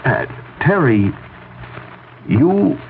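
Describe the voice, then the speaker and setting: An actor playing a hearty, excitable husband in an old-time radio drama, exclaiming and breaking up his phrases. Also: a little high, creaky, heavily acted. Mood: stunned, probing. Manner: exclamatory, halting. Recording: tinny, hissy vintage radio broadcast.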